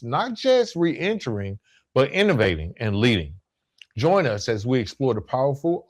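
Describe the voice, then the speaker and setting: A man hosting a solo podcast, explaining his points in an emphatic, rhetorical way and repeating key phrases for weight. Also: mid-range voice. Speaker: podcast host.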